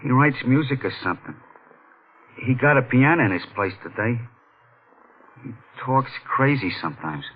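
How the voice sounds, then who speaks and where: An actor playing a frightened, jumpy janitor in a 1940s-style radio mystery, speaking in a nervous, ominous hush. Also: male voice, medium pitch, animated, normal speed, long pauses, slightly rough. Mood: uneasy, gossipy. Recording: tinny old radio recording with music.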